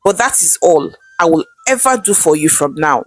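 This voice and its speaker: A woman playing a stern middle-aged male pastor who speaks slowly and reproachfully. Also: low voice, expressive, dry recording, voice pushed down. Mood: cold. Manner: slow, grave, reproachful.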